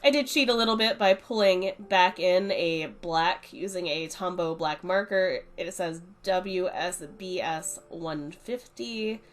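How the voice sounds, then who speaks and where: A woman's voice: on the low side, unhurried, hardly any pauses, faint music behind. Congested woman with a cold. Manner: chatty, relaxed, explaining as she goes. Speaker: art channel host. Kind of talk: casual art review voice-over.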